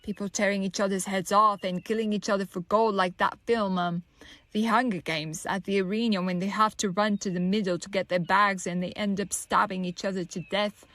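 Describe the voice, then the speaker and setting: Troubled, lonely young female lead for an actress; wry, halting, introspective monologue, mid-range voice, close dry recording. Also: medium pitch, steady tone, a bit bright, dry recording, lightly acted. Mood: wry, musing. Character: troubled, lonely young woman musing bitterly on survival. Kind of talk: dramatic monologue, audio drama.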